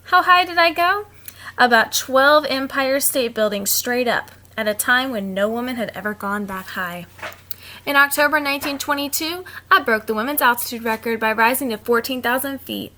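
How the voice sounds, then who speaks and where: High-voiced woman reading aloud to children. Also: normal speed, slight background noise. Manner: expressive, simple explaining to young listeners. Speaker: teacher reading picture books aloud. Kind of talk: children's read-aloud with casual asides.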